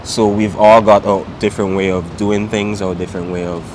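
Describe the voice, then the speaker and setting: A man's voice: lowish voice, fairly quick, noisy background. A young man from Saint Vincent and the Grenadines, chatting in a casual interview with lots of "um" and "like". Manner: relaxed, many fillers like "um" and "like".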